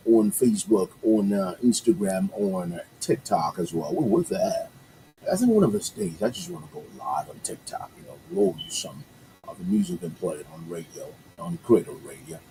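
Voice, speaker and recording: A man with a low, mellow voice hosts a morning radio show in a loose, chatty way. He rambles, restarts his sentences and fills pauses with "uh", and his first language is Yoruba. A faint hiss sits under the recording. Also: poor audio. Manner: rambling, many fillers and restarts, upbeat asides. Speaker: radio host. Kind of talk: casual morning radio chat.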